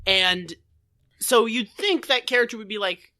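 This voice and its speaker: A woman's voice: a little high, unhurried, bright, forceful tone. A woman co-hosting a podcast, chatting casually and comedically with an irreverent, sweary, exclamatory way of talking. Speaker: podcast co-host. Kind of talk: casual comedic chat about an old TV show.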